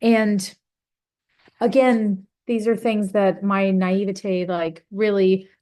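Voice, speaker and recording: A young woman speaking on a webinar panel over a video call, earnest and halting, with many fillers and restarts. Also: mid-range voice, steady tone, warm tone. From United States. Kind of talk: webinar testimony.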